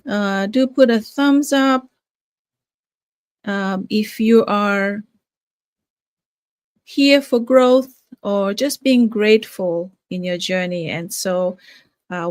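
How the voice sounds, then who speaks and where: A woman's voice: mid-range voice, darkish tone, no echo. An older woman from Fiji, a grandmother, hosting a casual live stream with many fillers and restarts. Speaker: live-stream host, nurse and business owner.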